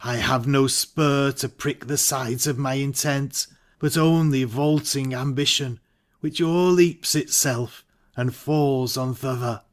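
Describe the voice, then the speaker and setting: A man playing a hesitant, ambitious nobleman in a Shakespearean audio drama, speaking verse in a measured way. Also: medium pitch, lightly acted. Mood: brooding, torn.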